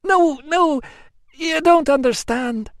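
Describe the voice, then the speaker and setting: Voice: high voice, takes it slowly, dry recording, clean studio sound, voice pushed up. A narrator voicing a self-pitying young Roman woman who pleads and complains in tearful bursts. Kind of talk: audiobook acting.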